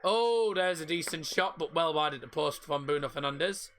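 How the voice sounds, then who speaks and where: Croaky-voiced man commentating live. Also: a little high, normal speed, a bit bright. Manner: casual, rambling, mixes up names. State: croaky sore throat. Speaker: football stream host. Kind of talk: live match commentary and chat.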